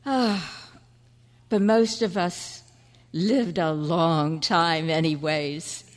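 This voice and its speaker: A woman playing a seductive, worldly and passionate writer with a grand, flirtatious manner in a stage drama. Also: deep voice. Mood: seductive, urging. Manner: grand, flirtatious, drawn-out delivery. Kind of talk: live dramatic stage reading. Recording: reverberant meeting hall.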